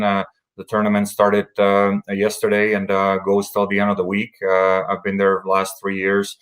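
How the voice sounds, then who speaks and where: A man from the Czech Republic, a hockey executive, answering interview questions in a halting way with many fillers. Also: lowish voice, a bit bright.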